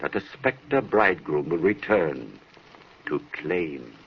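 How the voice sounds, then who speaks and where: An actor playing a grave, ominous narrator of a gothic horror tale on old-time radio, deliberate and portentous. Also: male voice, rumbling low, expressive, creaky, breathy edge, full character voice. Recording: muffled, hissy old radio broadcast.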